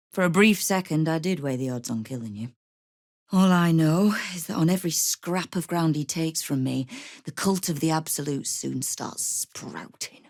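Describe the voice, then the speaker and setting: Game role: hard-bitten female guild boss. Low voice, sardonic and in command, very dry studio sound. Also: creaky, a little mellow, dry recording, full character voice. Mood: grim, businesslike. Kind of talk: video game character dialogue.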